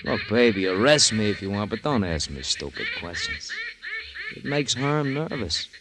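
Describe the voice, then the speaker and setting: A man's voice: fairly low pitch, huge pitch swings, normal speed. Cocky gangster henchman, noisy recording. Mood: cocky, dismissive. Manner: slangy, teasing, mock-wounded wisecracks. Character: cocky, sardonic gangster henchman who dotes on ducks. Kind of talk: 1950s radio crime drama acting. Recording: noisy old radio recording, honking ducks.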